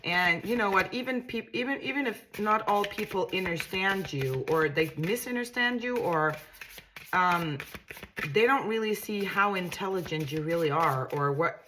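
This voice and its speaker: A woman's voice: medium pitch, animated, moderate pace, fairly bright tone, a little hiss or hum, low-quality recording. A woman with a Danish accent, a tarot reader giving a casual reading to camera, musing aloud and often repeating and restarting her words in a reassuring way.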